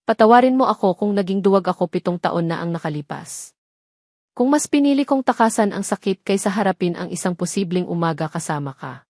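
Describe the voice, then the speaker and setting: A woman voicing a sincere, regretful man in love in a romantic audio drama, earnest and soft-spoken as he vows devotion. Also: lowish voice, lively intonation, brisk, no room sound, studio quality.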